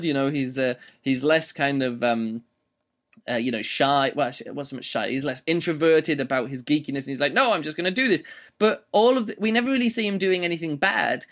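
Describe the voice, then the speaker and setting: A man with a slightly rough voice giving a casual, rambling spoken book review, with many fillers and restarts. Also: fairly high pitch, fairly quick, fairly bright tone.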